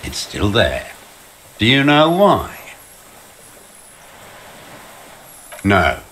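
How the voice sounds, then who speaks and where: An actor playing a bitter, weary old communist deserter in a video game, ranting with disdain. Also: male voice, fairly low pitch, huge pitch swings, fairly bright tone, a little hiss or hum, full character voice. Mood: scornful. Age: older. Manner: embittered, ranting, slow deliberate delivery. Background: music.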